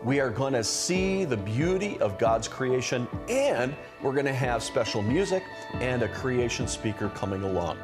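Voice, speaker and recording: A man delivers a promotional ad pitch in a lively, varied voice of medium pitch. Guitar music plays clearly behind him as he addresses the listener directly. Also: normal speed. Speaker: advertisement presenter promoting a cruise.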